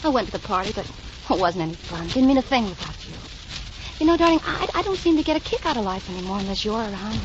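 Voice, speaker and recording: An actress playing an affectionate, playful young socialite fiancée in a 1940s radio drama. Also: female voice, medium pitch, sing-song, fast talker, close to an everyday voice. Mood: wistful. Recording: dull, noisy 1940s radio recording.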